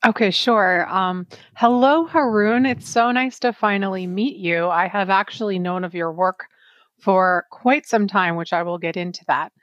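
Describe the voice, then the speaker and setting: A woman's voice: medium pitch, measured pace, dry recording, dull audio. A woman co-hosting a podcast, speaking conversationally as she interviews a guest. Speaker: podcast co-host.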